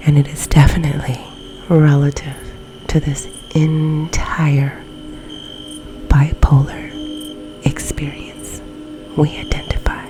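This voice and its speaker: A woman leading a guided meditation in a soft, breathy, often near-whispered ASMR delivery, with long pauses between phrases. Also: low-pitched, flat delivery, low-quality recording. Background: background music.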